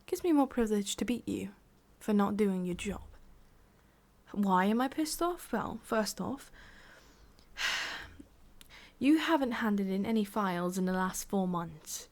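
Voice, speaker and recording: A woman's voice: mid-range voice, lively intonation, slow, pauses often. A woman with an English accent playing a sadistic, condescending boss in an ASMR roleplay, whispery and menacing. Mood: scornful, irritated. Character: sadistic, domineering female boss scolding an employee.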